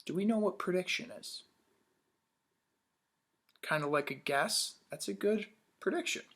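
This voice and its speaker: A man's voice: sits high, expressive, measured pace, fairly bright tone. A man teaching young children in a read-aloud lesson, upbeat, asking questions and praising answers.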